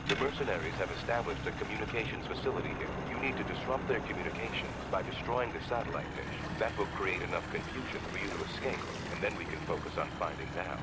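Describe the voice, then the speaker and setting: A man's voice: deep voice, quick pace, few pauses, heavy background noise, natural voice. A man voicing a composed, urgent insider ally who gives matter-of-fact instructions in a video game. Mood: calm briefing. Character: male insider ally guiding a fugitive by radio, composed, urgent. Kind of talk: video game cutscene voice acting.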